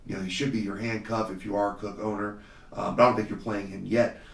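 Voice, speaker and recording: Slightly hoarse man talking off-mic. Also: lowish voice, little pitch movement, fast, fairly bright tone, echoey room, distant mic. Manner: confident, conversational, many fillers. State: slightly hoarse, under the weather. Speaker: podcast host. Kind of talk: solo fantasy football podcast talk.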